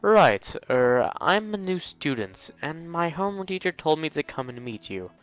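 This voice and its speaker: A man's voice: fairly high pitch, big pitch swings, normal speed, fairly bright tone, muffled recording, soft background music. A man playing a polite, hesitant teenage boy who is a new student in a visual novel.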